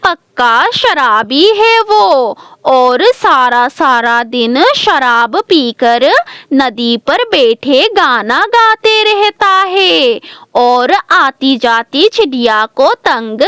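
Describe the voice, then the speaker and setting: A woman's voice: high-pitched, a bit bright, no room sound, clean studio sound, full character voice. A woman voicing a spiteful, scheming female crow in a children's cartoon story, with a scornful, insistent tattling manner. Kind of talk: children's animated moral story acting.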